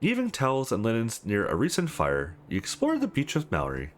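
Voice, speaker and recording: A man's voice: medium pitch, huge pitch swings, brisk. A man hosting a let's play, reading visual novel narration aloud in a performed manner. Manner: acts out dialogue, stumbles over read text.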